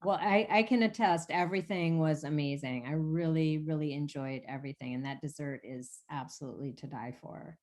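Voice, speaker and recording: A woman hosting a casual interview who speaks with clear enthusiasm and generous praise for the food. Her voice sits low in pitch for a woman. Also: a little fast, hardly any pauses. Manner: enthusiastic, warmly complimenting. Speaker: executive chef, interviewer.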